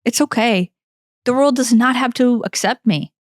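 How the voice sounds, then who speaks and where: Woman, 40s, Ukrainian accent, podcast co-host; high voice, dry close sound, blunt and emphatic. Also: moderate pace, dry recording. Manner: emphatic, blunt, swears freely. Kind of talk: impassioned self-help talk.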